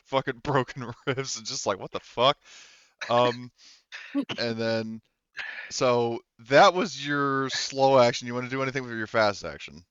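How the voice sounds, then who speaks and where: Male game master, clear smooth voice at medium pitch, casual and sweary, on dry, slightly dull audio. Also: fairly bright tone, no room sound. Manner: profane and jokey. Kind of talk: casual tabletop roleplaying narration and rulings.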